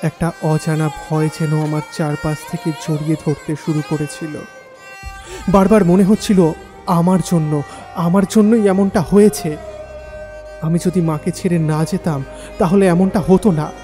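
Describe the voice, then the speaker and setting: A man acts a troubled young man telling his eerie tale in the first person. His voice is a little high and mellow, delivered dramatically in a long reverb over a faint music bed. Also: steady tone, measured pace, natural voice. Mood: stunned grief. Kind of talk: dramatic first-person horror story narration.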